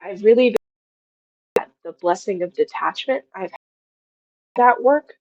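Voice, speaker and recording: A woman in her twenties speaks as a guest in a casual interview, with a halting, reflective delivery. The sound is dry and close, a little dull in the top end. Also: medium pitch, expressive, darkish tone, dry recording. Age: 20s. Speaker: guest, audio drama writer.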